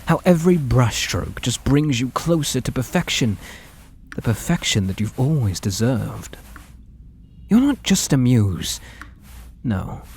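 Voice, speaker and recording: Man acting a slow, obsessive British artist lover. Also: mid-range voice, exaggerated voice. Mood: rapt, worshipful. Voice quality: soft and breathy, often dropping to whispers. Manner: tender, slow, menacingly intimate. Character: obsessive, possessive lovestruck male artist. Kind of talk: ASMR roleplay acting.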